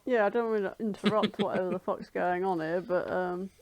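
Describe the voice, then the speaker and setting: A woman's voice: medium pitch, steady tone, a little fast, no room sound. A woman with an English accent co-hosting a podcast, playful and sweary as she banters and reads out fan fiction summaries. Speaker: podcast co-host.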